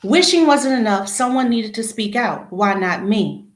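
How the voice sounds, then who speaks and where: A woman reading a children's picture book aloud, phrase by phrase, with expressive emphasis at the start of sentences. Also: fairly high pitch, a little slow, slight background noise. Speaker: read-aloud storyteller. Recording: echoey room.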